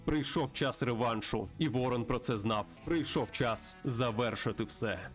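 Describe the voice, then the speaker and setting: A man reads as a solemn storyteller of an epic Western game. His middle-pitched voice is dramatic and portentous, set over music. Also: measured pace, lightly acted.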